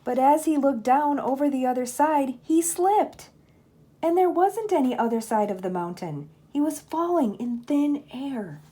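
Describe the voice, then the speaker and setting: An older woman, a grandmother, reading a children's storybook aloud in an expressive, measured storytelling manner. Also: high-pitched.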